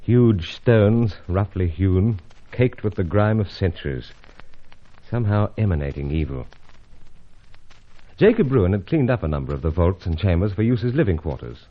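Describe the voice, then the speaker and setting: Suave British secret agent, very expressive. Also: male voice, sits low, normal speed, phone-quality sound. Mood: grave narrating. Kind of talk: old-time radio serial acting and narration.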